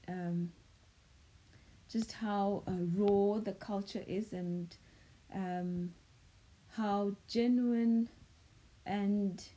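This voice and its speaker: A woman's voice: mid-range voice, dark tone, low-quality recording. A woman from South Africa whose first language is Sepedi, co-hosting a casual podcast chat and talking hesitantly, with many fillers and restarts. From South Africa (Limpopo). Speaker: podcast co-host.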